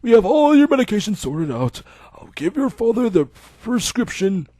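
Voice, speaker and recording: A man voicing a calm older male doctor with a measured, reassuring bedside manner. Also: high voice, no room sound, pitched above the performer's own voice.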